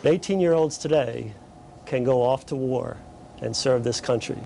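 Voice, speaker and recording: A man's voice: medium pitch, normal speed, creaky, mellow, a little hiss or hum. A man speaking as an agency official in a formal interview.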